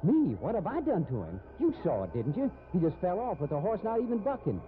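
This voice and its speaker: An actor playing a cocky, callous American cowboy gambler in a 1950s radio drama, with a brash, slangy western drawl. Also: male voice, high voice, huge pitch swings, a little fast. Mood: defensive, brash. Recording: noisy, narrow-band old radio recording.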